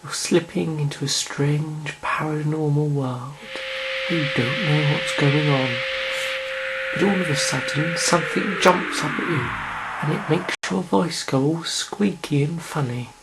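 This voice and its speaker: A performer voicing a bold, theatrical man who speaks quickly and forcefully. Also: medium pitch, over loud music, natural voice, poor audio. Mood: firm. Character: brisk, bold man, the Doctor.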